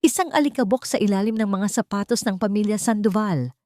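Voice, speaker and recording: A woman's voice: mid-range voice, a little fast, a little mellow, no room sound, studio quality, voice pushed up, natural voice. A woman storyteller voicing a haughty young rich bride-to-be who talks with sweetly venomous contempt in a dramatic tale. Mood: scornful.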